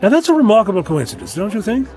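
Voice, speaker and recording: An actor playing a chatty, wry, inquisitive time-travelling adventurer in an audio drama, talkative and flippant. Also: male voice, high-pitched, very animated, fast talker, darkish tone. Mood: curious, wry.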